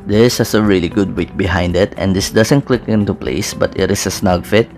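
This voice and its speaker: A young man narrating a scripted fragrance review in a steady, methodical, descriptive way, recorded indoors on camera. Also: sits low, normal speed. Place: small indoor room with brick-pattern wall. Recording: clear background music under voice. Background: background music.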